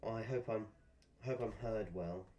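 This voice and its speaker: A man's voice: on the low side, unhurried, mellow, highs cut off, slight background noise. A man chatting casually while live-streaming a game, rambling through asides and swearing at the game now and then. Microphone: desk microphone.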